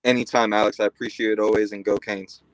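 A man's voice: lowish voice, flat delivery, quick pace, dry recording, low-quality recording. A man of about thirty from Florida, a guest analyst talking football with enthusiasm and some repeated starts. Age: around 30. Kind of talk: casual sports podcast chat.

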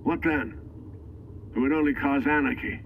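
Guy acting wary defensive insider, audio drama, average-pitched voice, bit of background noise. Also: moderate pace, phone-quality sound, lightly acted.

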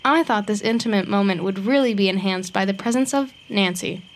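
A woman's voice: medium pitch, brisk, natural voice. A woman playing an awkward, chatty sidekick who rambles with wry, self-deprecating humour in an audio drama. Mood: nervous teasing. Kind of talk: naturalistic audio drama acting.

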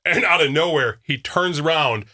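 A man's voice: on the high side, normal speed, pushed and bright. A man from Minnesota telling stories in a casual podcast chat, self-deprecating and laughing as he goes. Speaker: podcast co-host.

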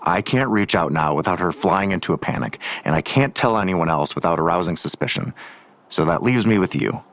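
An actor playing a curt, guarded, world-weary lawman in an audio drama, with blunt, terse delivery and a gravelly voice. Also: deep voice, expressive, fairly quick, fairly bright tone. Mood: grave, urgent. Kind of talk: full-cast audio drama acting.

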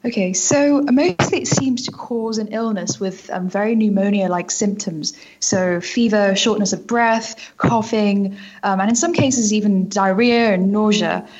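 A woman's voice: medium pitch, measured pace, few pauses, a little mellow, highs cut off. A British woman in her twenties giving articulate, factual interview answers as a reporter. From United Kingdom. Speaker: news reporter, interview guest.

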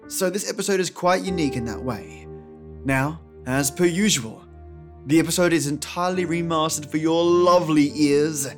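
A man reading a scripted podcast introduction as its host, with music behind him. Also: on the high side. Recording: music under the voice. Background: music, guitar.

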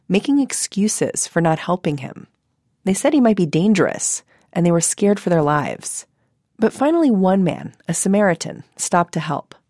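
An American woman with a lowish, very soft-edged mellow voice narrates as a podcast host. The sound is dry and close, recorded in a studio. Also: no room sound. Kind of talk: scripted narration and interviewing.

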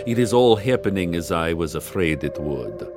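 A man's voice: low-pitched, big pitch swings, normal speed. A man voicing a spectral ghost woman who speaks formally and without contractions, full of dread. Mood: grave. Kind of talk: radio drama. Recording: guitar music behind.

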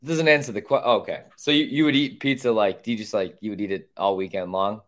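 A man's voice: on the low side, fairly even intonation, quick pace, fairly bright tone. A man co-hosting a casual sports podcast, talking in a blunt, opinionated way and swearing freely.

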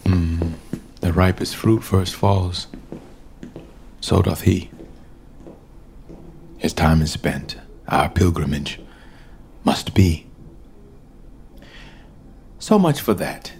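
A man's voice: low voice, husky, exaggerated voice. An actor playing a haughty young king who mocks a dying uncle and bursts into rage, in a classical stage style. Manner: haughty, lively verse delivery.

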